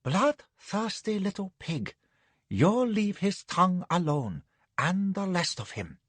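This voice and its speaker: A man voicing a drowsy, capricious young imperial concubine who purrs her words slowly. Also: sits high, sing-song, a bit bright, no room sound, pitched above the performer's own voice, exaggerated voice. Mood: languid.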